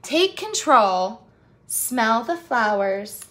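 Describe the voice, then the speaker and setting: A woman with a bright, fairly high voice hosts a read-aloud lesson for young children. She speaks straight to her young audience in an encouraging way, asking questions and stretching out the storybook phrases expressively. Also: sing-song, extremely slow. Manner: encouraging, addresses young viewers directly with questions. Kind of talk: picture-book read-aloud and talk to children.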